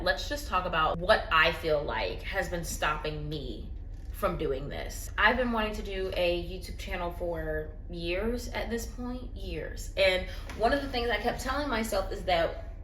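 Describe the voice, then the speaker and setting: A woman's voice: fairly low pitch, a bit bright. A woman talking candidly into her phone at home in a personal vlog, earnest and reflective. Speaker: vlogger. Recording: roomy echo, faint background noise.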